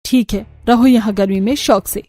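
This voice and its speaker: A woman's voice: medium pitch, steady tone, normal speed, warm tone, dry recording, natural voice. A woman voicing a scolding, selfish middle-aged mother-in-law in a cartoon. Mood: annoyed, scolding. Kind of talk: cartoon voice acting.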